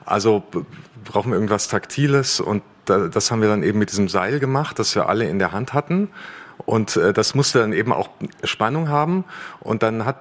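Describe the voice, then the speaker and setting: A man on a festival panel explaining a workshop exercise, slow with restarts. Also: on the low side, lively intonation. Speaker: workshop participant. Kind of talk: panel discussion. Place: stage at a festival.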